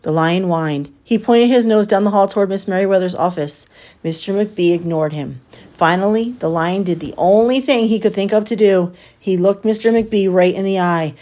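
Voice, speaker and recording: A woman narrates a children's picture book with expression. Her voice sits at an average female pitch, and the recording sounds a little dull and lo-fi. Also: mellow. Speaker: storyteller reading a picture book aloud.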